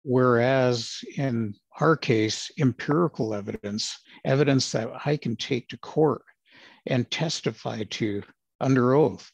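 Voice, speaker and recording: A man in his sixties, a retired ranger, telling his stories in a measured, plain-spoken way with many fillers. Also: mid-range voice, gravelly, breathy edge, no echo, dull audio. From Los Angeles, United States. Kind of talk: casual interview storytelling.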